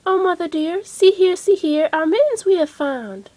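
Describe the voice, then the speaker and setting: A woman's voice: extremely high pitch, lively intonation, moderate pace, dark tone, pitched above the performer's own voice. A woman voicing apologetic little kittens, eager to please, in a sing-song, mewing nursery-rhyme delivery.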